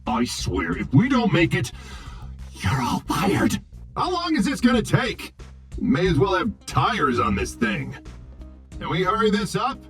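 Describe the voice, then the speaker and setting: An actor playing an arrogant, impatient robot Hollywood director in a video game, with a pompous, exasperated, theatrical delivery. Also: male voice, sits high, very animated, unhurried, vocal fry, fairly bright tone, soft background music, heavily acted. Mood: impatient, irritated. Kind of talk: video game character acting.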